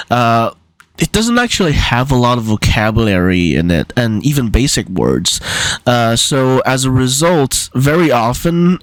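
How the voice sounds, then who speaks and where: A man's voice: mid-range voice, no room sound, rough recording. A man talking as an amateur linguist in a casual explainer vlog, hesitant, with many fillers and restarts.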